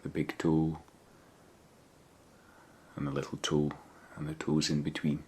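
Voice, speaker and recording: Very deep-voiced man guiding meditation. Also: completely flat delivery, a little hiss or hum. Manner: gentle, measured, unhurried instruction with pauses. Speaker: meditation guide. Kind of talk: guided body scan meditation.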